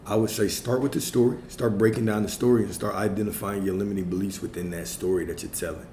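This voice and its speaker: A man's voice: on the low side, steady tone, quick pace, warm tone, echoey room, slight background noise. A man speaking as a spiritual coach on a livestream, answering viewer questions in a reflective way, his voice a little worn from talking all day. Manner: frequent tag questions. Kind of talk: livestream Q&A talk.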